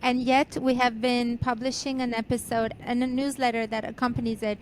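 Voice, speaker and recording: Higher-pitched woman co-hosting, faint rumble behind. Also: little pitch movement, fairly quick. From Albania. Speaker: podcast co-host. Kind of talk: casual conversational hosting.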